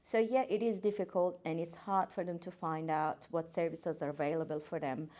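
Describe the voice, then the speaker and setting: A woman's voice: low voice, fairly even intonation, normal speed, mellow. A woman, a guest occupational therapist talking earnestly, with hesitant fillers and restarts. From Iran. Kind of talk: casual podcast interview.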